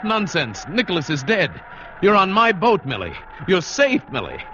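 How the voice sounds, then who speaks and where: A man's voice: high voice, huge pitch swings, moderate pace, a bit bright, slight background noise, heavily acted. An American man acting an adventurous, protective ship's skipper who narrates a perilous escape in 1940s radio drama style. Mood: firm, reassuring. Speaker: narrator and lead actor. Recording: muffled vintage radio recording, music behind.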